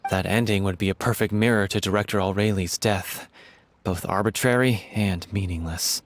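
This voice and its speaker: Man acting as earnest heartbroken young troupe member, lowish pitch, solemn, deliberate, video game drama, faint background noise. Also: steady tone, natural voice. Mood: grave.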